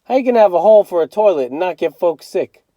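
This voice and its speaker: Storybook teenage boy, played by a man: streetwise, incredulous, slangy complainer, raised pitch, dry recording. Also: lively intonation, fast talker, warm tone, dry recording. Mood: indignant, incredulous. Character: streetwise, indignant teenage boy, wisecracking older brother. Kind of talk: read-aloud of a children's novel.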